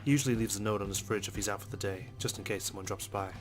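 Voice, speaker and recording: Male let's play host narrating game text: rapid, lowish delivery, casual, music clearly underneath. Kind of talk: reading game narration aloud with casual asides. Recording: music behind the voice.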